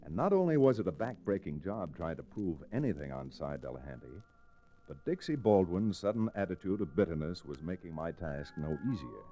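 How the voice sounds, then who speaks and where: An actor playing a level-headed, wry lawyer hero in an old-time radio western. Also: male voice, sits low, animated, brisk, dark tone. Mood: wry narration. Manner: wry, reasoning.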